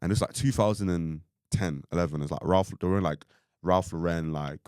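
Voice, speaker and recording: Deep-voiced young man, rambling casual chat. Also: a little fast, darkish tone, clear, resonant tone, dry recording, studio quality. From Ireland. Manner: rambling, full of "like" and "you know what I mean". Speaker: podcast co-host.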